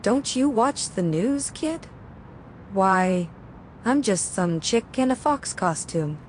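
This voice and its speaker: A woman voicing a cocky, teasing fox-costumed vigilante in an animated series, with sarcastic quips. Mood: playful sarcasm. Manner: sarcastic, unhurried quipping. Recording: clear low rumbling background noise.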